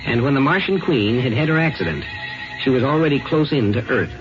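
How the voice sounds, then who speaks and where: A man narrating a 1950s radio drama from a script in a deliberate, dramatic manner. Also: mid-range voice, animated, music in the background. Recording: muffled 1950s radio recording.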